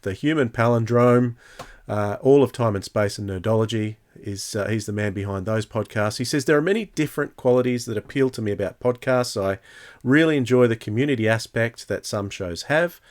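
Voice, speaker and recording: A man with a mid-pitched voice hosts a casual podcast. He speaks in a halting, hesitant way, moving between reading aloud and chatting off the cuff. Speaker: podcast host. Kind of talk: casual podcast talk, reading out listener comments.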